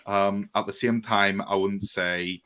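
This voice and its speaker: A man with a Northern Irish accent talks in a low, unhurried register. He speaks earnestly as a podcast guest, often stopping and restarting his sentences. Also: steady tone, noisy background. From Northern Ireland. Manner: earnest, hesitant with many restarts. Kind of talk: casual chat.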